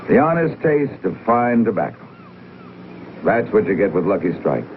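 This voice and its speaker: A man's voice: on the low side, very animated, moderate pace. A man delivering scripted narration for a television commercial. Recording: noisy muffled old 1950s commercial.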